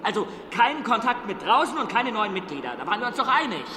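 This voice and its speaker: A man's voice: sits high, very animated, fast, sharp tone, heavily acted. An actor playing an insistent, exasperated male gatekeeper of a secluded commune, lecturing and arguing in a radio drama. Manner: lecturing, argumentative. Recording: echoey hall with noise behind.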